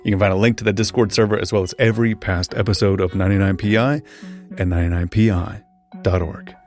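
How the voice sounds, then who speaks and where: A man's voice: lowish voice, steady tone, quick pace, faint music behind. A man hosting a podcast, chatting casually about a book, laughing often, and reading the show credits. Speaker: podcast host.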